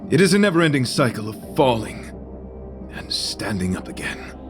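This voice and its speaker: An actor voicing a noble, courtly knight devoted to beauty, speaking formal, florid lines earnestly in a theatrical video game style. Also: male voice, medium pitch, very animated, moderate pace, over music, natural voice. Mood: solemn reverie.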